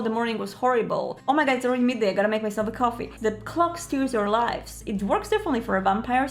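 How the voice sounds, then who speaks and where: Female online presenter, fairly high voice, measured scripted tips, music behind. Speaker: video creator giving roleplay tips. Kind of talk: scripted advice narration to viewers.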